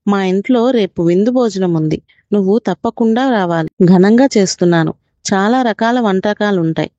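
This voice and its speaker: A woman voicing a kind, shrewd wolf, a loyal friend who speaks gently and courteously in a children's storybook tale. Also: medium pitch, normal speed, no echo, highs cut off, close to an everyday voice. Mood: inviting.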